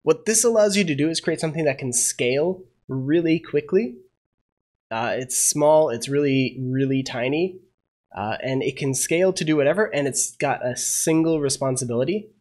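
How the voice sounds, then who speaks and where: A young man hosts a casual coding tutorial, a little under the weather from a cold. His voice sits slightly high, and he thinks aloud, filling pauses with "uh" and admitting when he isn't sure what he just did. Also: lively intonation, a little mellow. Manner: thinking aloud, many "uh" fillers, unsure asides. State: getting over a cold. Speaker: programming tutorial host.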